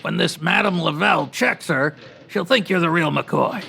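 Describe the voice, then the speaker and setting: An actor playing a brusque, bossy city editor in a radio comedy, with clipped slangy talk and a gravelly, sharp voice. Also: male voice, fairly high pitch, moderate pace, soft background music, exaggerated voice. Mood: brisk, scheming. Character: brusque, excitable male city newspaper editor. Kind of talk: old-time radio newsroom comedy acting.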